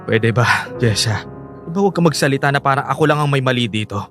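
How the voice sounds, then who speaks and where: A man's voice: mid-range voice, animated, fast, lightly acted. A man playing a remorseful, defensive unfaithful husband and young father in a Filipino radio drama, speaking with a Filipino accent. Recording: music bed behind the voice.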